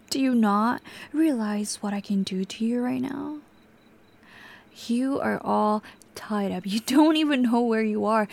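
A young woman voicing an obsessive, volatile captor in an audio roleplay, sliding between soft whispers and sudden sharp outbursts. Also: fairly high pitch.

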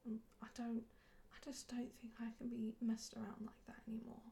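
A woman playing a flustered, hesitant woman in an audio roleplay, defensive but quick to yield, in a halting, breathy whisper. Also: fairly high pitch, monotone, mellow, slight background noise. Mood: reluctant, pleading. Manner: halting, stammering.

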